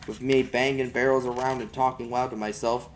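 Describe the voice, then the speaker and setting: A man reads a story aloud in the first person. He has a medium-pitched voice and tells it in a folksy storytelling style, like a homemade audiobook. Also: level tone, a little fast, a little hiss or hum. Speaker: amateur audiobook reader.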